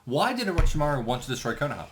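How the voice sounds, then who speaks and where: A man chatting casually as a commentator, thinking aloud in a halting way. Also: on the high side, sing-song, slight background noise. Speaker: creator giving episode commentary.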